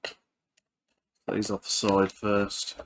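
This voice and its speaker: Man, fairly low voice, casual repair commentary, halting delivery, thinking aloud. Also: completely flat delivery, noisy background. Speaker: electronics repairer, video host.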